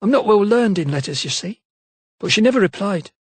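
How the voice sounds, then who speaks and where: A man's voice: sits high, lively intonation, a little fast, husky, no room sound, pitched above the performer's own voice. A man voicing a timid, deferential young female maid with rustic, uneducated speech. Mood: timid, earnest. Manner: hesitant, deferential.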